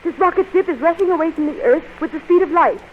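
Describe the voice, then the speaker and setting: Very high, tinny radio heroine. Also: female voice, fast, slight background noise. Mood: alarmed. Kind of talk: 1930s radio serial acting. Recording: tinny old radio recording, background voices.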